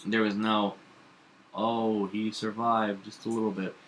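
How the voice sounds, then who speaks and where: Young dude with a bright sharp voice, low-ish, chatting about shows, faint hiss. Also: male voice, flat delivery, a little slow, poor audio. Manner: opinionated, hesitant with fillers. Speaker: podcast co-host. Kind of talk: casual chat about comics and TV.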